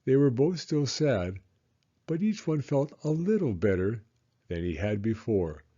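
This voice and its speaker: A man reading a children's story aloud in a deliberate, expressive storytelling style. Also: mid-range voice, highs cut off. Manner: deliberate, acts out character voices. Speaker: children's audiobook reader.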